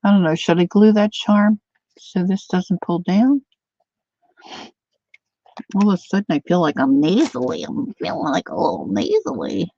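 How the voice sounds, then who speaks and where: An older woman chatting casually to viewers while she crafts, thinking out loud as she works. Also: fairly low pitch, big pitch swings, normal speed, vocal fry, long pauses. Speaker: craft livestreamer. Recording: dull lo-fi dry sound.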